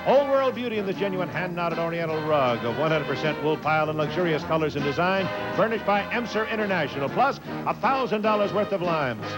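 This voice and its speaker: High-pitched male prize announcer over music. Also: low-quality recording. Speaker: game show announcer. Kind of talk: scripted prize-description advertising read.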